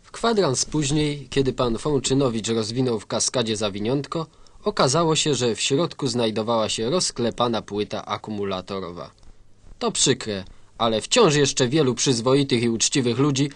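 A man narrating a scripted satirical story in a deadpan style. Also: mid-range voice, moderate pace, dry recording.

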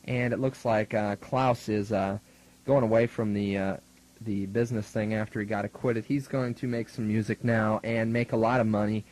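Low-pitched young American man, radio DJ. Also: a little mellow, rough recording. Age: young adult. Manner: casual, wry. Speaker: student radio DJ. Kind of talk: music radio DJ announcing and chat. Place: radio studio. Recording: 1980s college radio aircheck tape.

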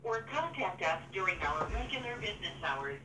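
A woman's voice: mid-range voice, big pitch swings, fairly quick, far from the mic. A woman reading a scripted automated phone greeting, heard through a telephone speaker in a room. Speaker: automated phone line announcer. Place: played over a phone in a room. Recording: noisy, roomy phone speaker, off-mic.

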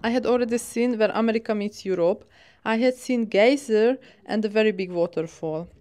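A woman's voice: a little high, brisk, a little mellow. Woman narrating a travel vlog. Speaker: travel vlogger.

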